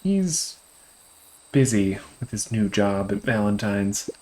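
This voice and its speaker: Gentle, folksy game dad. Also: male voice, lowish voice, animated, slow. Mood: gentle, evasive. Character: gentle fatherly man out fishing with his young son. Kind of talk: video game voice acting.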